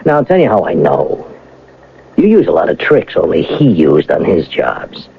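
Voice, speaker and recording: An actor playing a shrewd, sardonic police detective sergeant, needling with mock friendliness and deliberate pauses in an old-time radio drama. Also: male voice, low voice, huge pitch swings, gravelly, slightly rough, full character voice. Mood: shrewd, pressing. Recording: tinny, narrow vintage radio broadcast.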